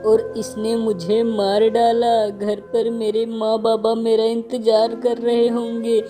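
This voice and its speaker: A woman's voice: on the high side, completely flat delivery, slow pace, dark tone, faint music behind. A woman voicing a vengeful ghost witch of a murdered girl in a cartoon story, aggrieved and threatening. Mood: bitter, vengeful.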